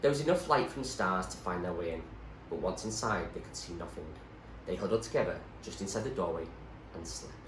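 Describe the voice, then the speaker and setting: A man narrates a novel in a low voice that rises and falls widely for emphasis. He sounds like someone reading aloud in an echoey room, delivering the scripted prose dramatically. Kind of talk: scripted story narration, read expressively. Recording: roomy echo, faint background noise.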